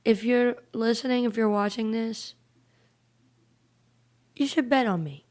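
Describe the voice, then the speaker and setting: A raspy-voiced woman in her twenties with a Southern country accent, talking earnestly as a guest singer in a casual interview. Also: a little high, unhurried, a little mellow. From North Carolina, US. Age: 20s. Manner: earnest, repeats words when starting.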